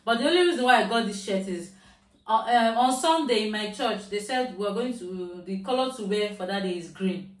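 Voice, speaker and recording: A woman's voice: mid-range voice, normal speed. Chatty Nigerian woman vlogging from home. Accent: Nigerian, Yoruba. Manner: chatty, mixing in Nigerian Pidgin phrases. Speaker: shopping haul vlogger. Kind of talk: casual chat to camera. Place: at home, indoors. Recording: roomy, mic at a distance.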